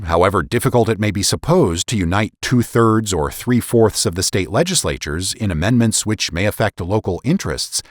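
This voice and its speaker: A man narrating a historical political essay as a dramatic reading, in a formal, measured, oratorical manner. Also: lowish voice, dry recording, clean studio sound. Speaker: podcast host and narrator.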